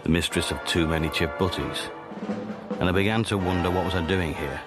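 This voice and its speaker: An actor as a deep-voiced, wry secret agent. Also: male voice, fairly even intonation, a little fast, loud music behind the voice, close to an everyday voice. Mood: brooding, wry. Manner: dry, sardonic, understated. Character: wry, world-weary Cold War secret agent, insubordinate. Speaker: narrator and lead spy. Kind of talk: radio drama first-person narration.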